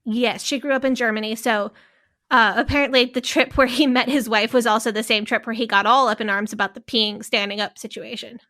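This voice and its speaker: A woman's voice: fairly high pitch, steady tone, fairly quick, bright, forceful tone. A woman co-hosting a podcast, telling a story in a casual, rambling way. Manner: storytelling, with frequent fillers and restarts. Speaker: podcast co-host.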